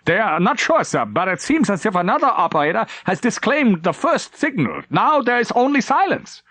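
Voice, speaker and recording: A man's voice: high voice, very animated, normal speed, sharp tone, no room sound, voice pushed up, heavily acted. A man reading the part of a controlled German warship captain, formal, clipped and restrained, in an audiobook. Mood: cool, controlled.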